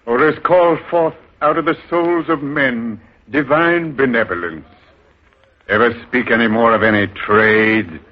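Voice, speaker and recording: A man's voice: medium pitch, measured pace, natural voice. A man playing a cunning older male beggar who commands the others with grand speeches, in 1930s radio drama acting. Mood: commanding. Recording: dull 1930s radio recording.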